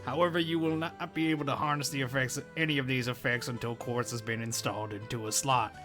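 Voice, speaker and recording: Casting: man to play greedy RPG shop mechanic, bright and punchy, condescending explainer who curses at customers. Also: mid-range voice, fairly even intonation, fast, soft background music, close to an everyday voice. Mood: lecturing. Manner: pompous lecturing with crude sweary asides. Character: blunt, greedy shopkeeper mechanic explaining magic, insulting customers. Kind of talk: amateur fandub game dialogue.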